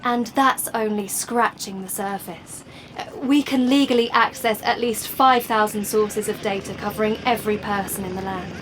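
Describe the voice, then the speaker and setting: An actress playing a coolly assured woman official in an audio play, lecturing in a deliberate, instructive way. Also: mid-range voice, steady tone, noisy background, natural voice. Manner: instructive, deliberate, checking listeners follow.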